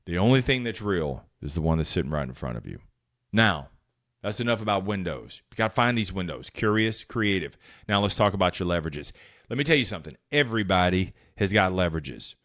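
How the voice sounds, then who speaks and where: A man's voice: low-pitched, big pitch swings, fairly quick, fairly bright tone. A man hosting a motivational sales podcast, talking bluntly and emphatically in short, punchy phrases, with some profanity. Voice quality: powerful voice. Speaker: podcast host and sales coach. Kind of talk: motivational solo talk.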